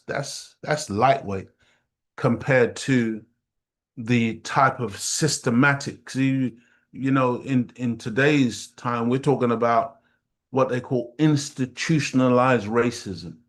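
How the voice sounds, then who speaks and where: A man's voice: medium pitch, slow. A middle-aged man from Jamaica giving an impassioned community teaching talk, emphatic and preacher-like, repeating phrases for weight. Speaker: study group speaker.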